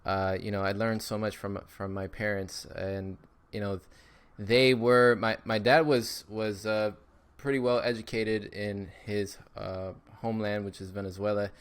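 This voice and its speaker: A man's voice: fairly low pitch, level tone, measured pace, smooth. A man in his thirties from Miami, chatting as an upbeat podcast guest with lots of fillers. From Miami, Florida. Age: 30s. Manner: upbeat, with frequent fillers and repeats. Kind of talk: casual interview chat.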